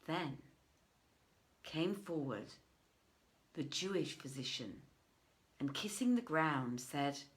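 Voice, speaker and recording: A middle-aged woman reading old tales aloud in a deliberate, theatrical way, with emphatic pauses. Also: low-pitched, lively intonation. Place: indoors, living room by a fireplace.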